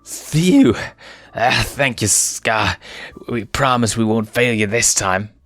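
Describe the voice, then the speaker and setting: A man's voice: mid-range voice, slow pace, a bit bright, no room sound, voice pushed up. A man voicing a cowed, pleading female hyena henchwoman in a storybook, sounding strained. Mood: relieved.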